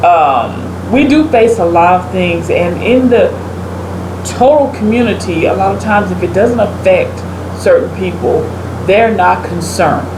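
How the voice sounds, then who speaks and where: A woman's voice: on the low side, lively intonation, a little slow. A woman co-hosting a casual talk show, chatting and giving her opinions in an emphatic, conversational way. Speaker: podcast co-host. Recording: roomy echo, clear background hum and noise.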